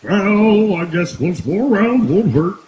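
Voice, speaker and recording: An excitable ride keeper from a radio play acted by a man. His pitch is very high and leaps around. The recording is echoey, with clear crowd sound behind him. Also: slow. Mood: matter-of-fact. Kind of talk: old-time radio acting. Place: outdoor stage in bamboo woods. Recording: roomy echo, crowd noise.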